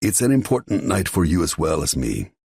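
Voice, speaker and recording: A man's voice: low-pitched, big pitch swings, a little fast, gravelly, a little mellow, husky, dry recording, studio quality, voice pushed up, exaggerated voice. A man voicing a stern, controlling father who gives firm warnings. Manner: commanding, warning.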